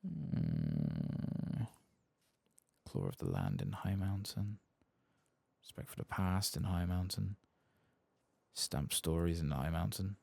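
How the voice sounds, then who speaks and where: A soft-spoken man giving casual, musing ASMR commentary as he plays a game. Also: deep voice, big pitch swings, takes it slowly, vocal fry, long pauses, slightly rough, no echo. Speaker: gaming ASMR host.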